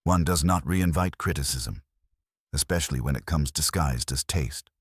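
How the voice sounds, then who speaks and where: A man's voice: extremely low pitch, moderate pace, slightly rough, no echo, studio quality. A man voicing a haughty, affected male wine aesthete with pompous, precise speech, as in an audiobook. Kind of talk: audiobook character dialogue.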